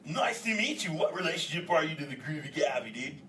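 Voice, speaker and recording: A man's voice: on the high side, sing-song, a little fast, fairly bright tone. A laid-back surfer sea turtle with a wildly swooping, drawling delivery full of exclamations and slang. He hosts a comic audience show, heard from a distance in an echoey, noisy space. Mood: cheerful, curious. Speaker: host of an interactive live audience show. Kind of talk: improvised comic audience banter. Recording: echoey, noisy hall, voice from afar.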